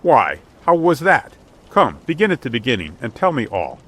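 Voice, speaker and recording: A man reading a storybook, voicing an excitable wealthy gentleman merchant with emphatic, exclamatory, old-fashioned speech. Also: mid-range voice, normal speed, husky, exaggerated voice. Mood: eager curiosity. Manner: exclamatory, emphatic, repeats himself, archaic wording. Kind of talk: dramatic audiobook character reading.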